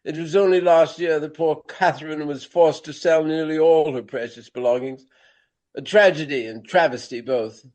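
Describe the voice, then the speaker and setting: An actor playing an aging, pompous Regency gentleman of the stage in a radio play, nostalgic and theatrical, with florid phrasing and dramatic pauses. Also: fairly high pitch, lightly acted. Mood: theatrical regret.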